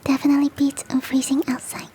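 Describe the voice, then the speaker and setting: A woman voicing a big fluffy motherly yeti in an ASMR roleplay, cooing gently and teasingly in a soft, breathy whisper. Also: sits high, monotone, a little hiss or hum, natural voice. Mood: cheerful, doting. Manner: cooing, nurturing, slow and teasing.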